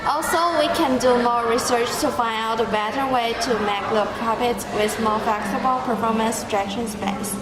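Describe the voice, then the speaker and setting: A woman's voice: fairly high pitch, steady tone, moderate pace, hardly any pauses. A young woman delivering a prepared spoken reflection in a formal, orderly way. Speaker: student team member. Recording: echoey, very noisy background.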